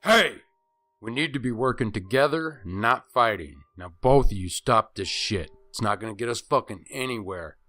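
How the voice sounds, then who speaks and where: A man's voice: mid-range voice, lively intonation, normal speed, sharp tone, dry recording, soft background music. A man acting a blunt, foul-mouthed young peacemaker in a horror audio story. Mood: firm, pleading.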